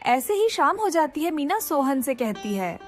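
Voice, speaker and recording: A woman's voice: high voice, very animated, measured pace, soft background music. A woman narrating a scripted moral story for a cartoon.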